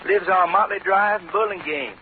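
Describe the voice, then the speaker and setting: An actor playing an obliging car dealer heard through a telephone in a 1940s radio drama. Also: male voice, sits high, normal speed, a little hiss or hum. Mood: helpful. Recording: tinny telephone voice on old radio.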